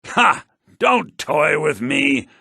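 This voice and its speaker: An actor playing a sly, money-minded, lecherous merchant in a video game, with a smug theatrical manner. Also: male voice, a little high, big pitch swings, very slow, creaky, sharp tone, slightly rough, dry recording, studio quality, exaggerated voice. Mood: smug, flirtatious. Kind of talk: video game character dialogue lines.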